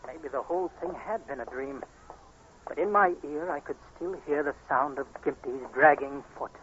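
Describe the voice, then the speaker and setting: A man's voice: on the high side, normal speed, fairly bright tone, close to an everyday voice. An actor playing an earnest, anxious blind young man in a 1940s radio drama, with dramatic, intense delivery. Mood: tense narration. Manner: dramatic, intense, emotionally urgent. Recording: tinny, noisy 1940s radio recording.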